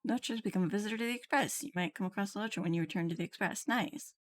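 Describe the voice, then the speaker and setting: A woman's voice: mid-range voice, rapid-fire, faint music behind. A woman streaming a game, commenting and reading dialogue aloud.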